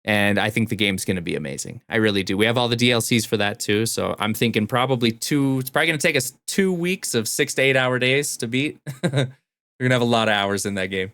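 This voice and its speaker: A man's voice: mid-range voice, fast, a bit bright, dry recording, clean studio sound. A man chatting casually and enthusiastically about video games as a podcast co-host.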